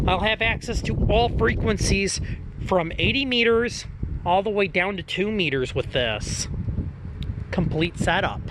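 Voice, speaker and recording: An American man with a somewhat high voice presents as an amateur hobbyist. He talks casually, in the manner of a homemade vlog walkthrough of his own gear. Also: noisy background.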